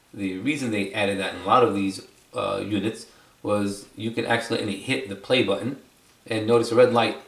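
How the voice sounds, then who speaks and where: A man giving a casual, unscripted product demonstration in a conversational, explanatory way, repeating phrases for emphasis. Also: fairly low pitch. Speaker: product reviewer and video presenter. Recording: roomy echo, distant microphone.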